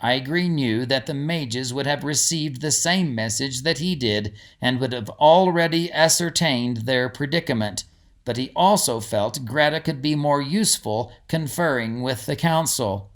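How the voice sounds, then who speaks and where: A man's voice: mid-range voice, a little slow. A man who is an author, narrating a storybook and giving casual commentary on it.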